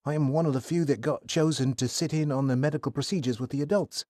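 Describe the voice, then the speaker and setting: A flustered, courtly young man of eighteen stammers through apologies in a storybook tale, played by a man. The voice is pitched above the reader's own, with a mellow, dark tone. The recording is close and dry. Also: fast talker, no echo, natural voice. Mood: nervous.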